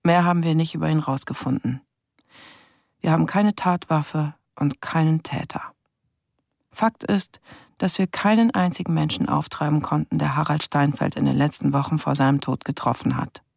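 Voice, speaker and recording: A woman's voice: sits low, normal speed, vocal fry, darkish tone, no echo, studio quality. A woman playing a brooding young investigator in a radio crime drama, reflective, questioning and bitter in a naturalistic monologue. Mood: frustrated, brooding. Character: brooding young investigator troubled by a first murder case.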